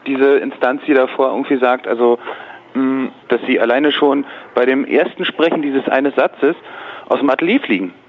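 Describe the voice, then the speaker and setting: A man's voice: mid-range voice, steady tone, normal speed, slight background noise. Tinny phone voice of a wordy man. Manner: wordy, hedging, politely dismissive. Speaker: dubbing studio employee taking a call. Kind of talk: phone conversation. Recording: tinny narrow-band phone line.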